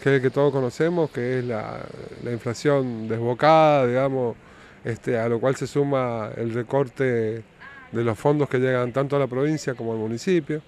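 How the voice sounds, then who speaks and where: An Argentine man of medium pitch speaks as a councillor, composing his answer on the spot. There is faint vehicle noise around him. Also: fairly even intonation, normal speed, a little mellow. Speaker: city councillor being interviewed. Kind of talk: spontaneous interview answer. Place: outdoors by a building. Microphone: handheld reporter microphone. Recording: outdoor street noise with vehicles.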